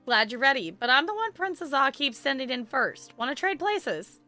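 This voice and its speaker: A woman game streamer chatting casually and in an animated way with her livestream audience as she plays. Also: sits high, moderate pace. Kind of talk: casual livestream chat and reading game dialogue. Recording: music behind the voice.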